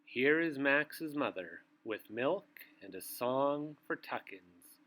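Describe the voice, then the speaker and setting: A man reading a picture book aloud to young children, with theatrical, drawn-out phrasing and asides to his listeners. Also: mid-range voice, expressive, fairly bright tone.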